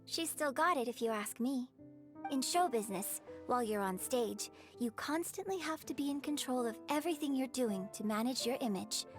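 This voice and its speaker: High-voiced, poised young opera performer. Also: female voice. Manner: polite, composed, refined phrasing. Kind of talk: video game voice acting.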